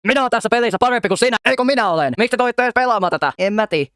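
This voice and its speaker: A man's voice: very high-pitched, fairly bright tone, clean tone, dry recording, studio quality, voice pushed up. A man voicing bickering, bossy cartoon board-game players in an argumentative, blurting way for an amateur comedy fandub. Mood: quarrelsome.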